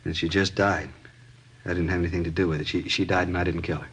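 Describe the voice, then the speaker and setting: A man's voice: low-pitched, fairly even intonation, fast, fairly bright tone, breathy edge. An actor playing an anxious, hesitant American married man under police questioning in a 1950s radio crime drama. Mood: shaken, relieved. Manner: hesitant, rambling, stammering pleas.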